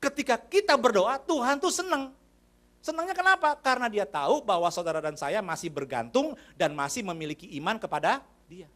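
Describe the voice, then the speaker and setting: A man's voice: high-pitched, expressive, brisk, a bit bright. A man preaching a sermon in a church. He talks to the congregation in a conversational way, addresses them often and asks them questions. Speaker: pastor.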